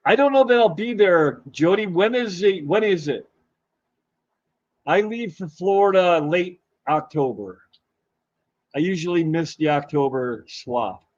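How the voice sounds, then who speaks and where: A man hosting a live stream, chatting casually and greeting viewers in a rambling, halting way while his voice is going hoarse. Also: high-pitched, expressive, dry recording, muffled recording. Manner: rambling, halting, with many fillers and restarts. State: losing his voice. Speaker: livestream host.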